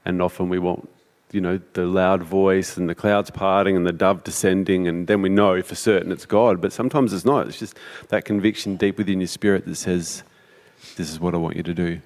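Encouraging man with a lowish voice, church echo. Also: fairly even intonation, a little fast, a little mellow. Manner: encouraging, affirming with frequent yeahs. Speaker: church interviewer. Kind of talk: church interview. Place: church sanctuary.

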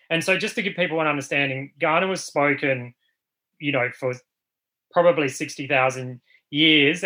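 Bright-voiced Australian man, thoughtful. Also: medium pitch, rough recording. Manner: thoughtful, with frequent fillers and restarts. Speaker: artist guest. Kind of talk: casual panel talk.

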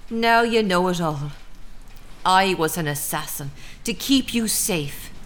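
A woman's voice: fairly low pitch, expressive, takes it slowly. A middle-aged Irish woman playing an ordinary mother with a secret life as an assassin, plain-spoken and wry, in an audio drama. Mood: pleading, defiant.

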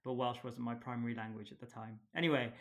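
A man's voice: mid-range voice, quick pace. Guy hosting a podcast chat, easygoing, lots of um and uh. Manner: relaxed, hesitant with many fillers. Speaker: podcast host. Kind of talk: casual interview chat.

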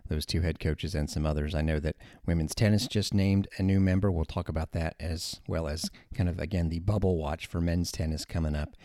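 Deep-voiced, chatty male sports radio host. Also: steady tone, a little fast, hardly any pauses, warm tone, dry recording, clean studio sound. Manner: chatty, informal, rambling asides. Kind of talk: casual sports talk radio.

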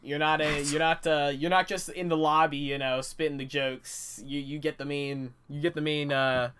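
A man's voice: fairly high pitch, flat delivery, normal speed, pushed and bright, slight background noise. A young adult man co-hosting a podcast, nasal and congested from a cold, chatting casually and laughing often. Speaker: podcast co-host. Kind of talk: casual chat.

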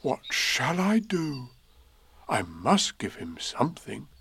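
A man's voice: mid-range voice, huge pitch swings, takes it slowly, fairly bright tone, breathy edge, heavily acted. A man voicing a humble, childlike bear in a children's story, speaking simply and earnestly. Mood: pondering.

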